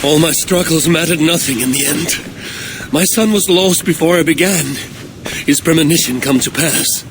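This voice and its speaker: A woman's voice: low-pitched, normal speed, close to an everyday voice. A woman playing a proud, troubled Viking warrior in a video game, terse and grave. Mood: grieving. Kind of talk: dramatic video game acting. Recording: clear background ambience noise.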